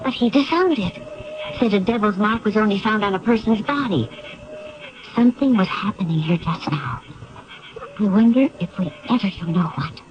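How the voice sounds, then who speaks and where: A woman's voice: medium pitch, animated, normal speed, natural voice. An actress playing an anxious, loyal woman confidante in a 1970s radio drama, speaking earnestly and dramatically. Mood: uneasy. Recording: dull lo-fi 1970s radio broadcast.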